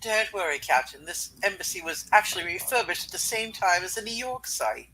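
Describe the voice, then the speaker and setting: Polite, reassuring woman embassy chief, voiced by a man, high and bright, eager to help in a noisy amateur comic reading. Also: lively intonation, fairly quick, heavily acted.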